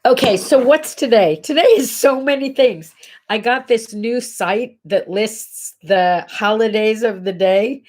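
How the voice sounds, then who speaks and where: A woman's voice: fairly high pitch, measured pace, darkish tone. A middle-aged woman with a congested, nasal voice, hosting a casual morning livestream chat from her home and chatting directly to viewers. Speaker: host. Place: home bedroom.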